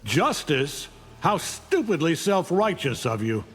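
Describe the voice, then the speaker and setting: Arrogant alien conqueror, echoing reverb. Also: male voice, fairly high pitch, big pitch swings, slow, breathy edge, heavily acted. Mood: taunting. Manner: contemptuous, taunting, coldly commanding. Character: arrogant, menacing alien conqueror, a middle-aged superhuman father. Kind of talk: video game voice acting, fight intro taunts.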